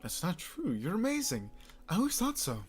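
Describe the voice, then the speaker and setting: A man voicing an upbeat, admiring young man who talks eagerly and flatters his senior. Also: high voice, normal speed, soft background music, voice pushed up.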